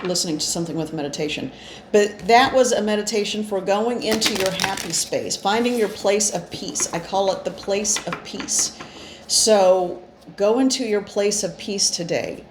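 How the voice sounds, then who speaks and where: A woman with a gravelly voice giving an informal spiritual card reading, talking in an earnest, meandering way with gentle encouragement. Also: medium pitch. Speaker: spiritual channeler and card reader. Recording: echoey room with faint noise.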